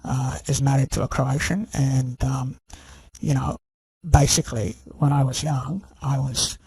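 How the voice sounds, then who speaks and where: A man's voice: mid-range voice, fairly even intonation, unhurried, vocal fry, darkish tone, breathy edge, dry recording, low-quality recording. A man delivering a rambling, scornful rant to his viewers, full of "you know" and "okay?". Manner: scornful and insistent. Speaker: channel host. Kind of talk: ranting monologue to camera.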